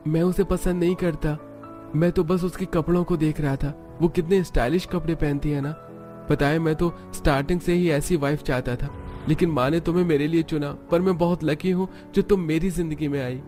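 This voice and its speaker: A man's voice: a little high, fairly even intonation, moderate pace, dark tone, soft background music, pitched above the performer's own voice, lightly acted. A man voicing an easygoing young husband in cartoon story dialogue. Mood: defensive, earnest. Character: young husband, easygoing, talking to his wife.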